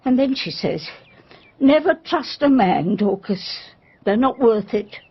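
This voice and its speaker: An actress playing a loyal, deferential, gossipy English housekeeper with an English accent, flustered and animated, in a radio drama. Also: female voice, fairly high pitch, slow pace, breathy edge, muffled recording, full character voice. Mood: confiding.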